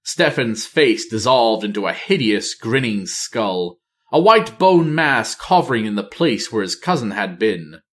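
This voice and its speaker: A man's voice: fairly low pitch, sing-song, unhurried. A man reading a fantasy novel aloud as storyteller, in dramatic audiobook-style narration.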